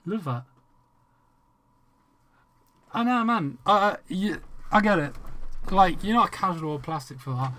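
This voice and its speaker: A man's voice: high voice, expressive, normal speed, bright, forceful tone. Rambling, swearing English streamer man. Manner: rambling, swearing freely, frequent restarts. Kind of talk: casual livestream chat with viewers.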